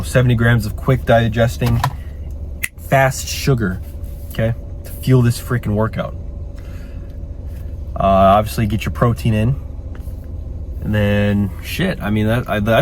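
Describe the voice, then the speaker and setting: A man's voice: fairly low pitch, a little mellow, a little hiss or hum. A young man with a congested voice, talking in a hyped, profane style as a fitness vlogger in a gym. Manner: hyped, profane bro talk, keeps adding "okay?". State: congested from a cold. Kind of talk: casual gym vlog talk.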